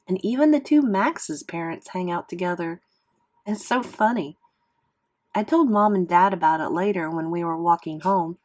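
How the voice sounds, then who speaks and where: A woman reads a children's novel aloud in expressive classroom read-aloud narration, like a teacher with a class. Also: fairly low pitch.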